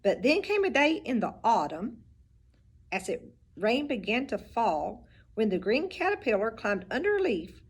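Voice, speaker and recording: A teacher reading a picture book aloud, with expressive storybook delivery and little asides about the pictures. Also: female voice, fairly high pitch, measured pace, breathy edge.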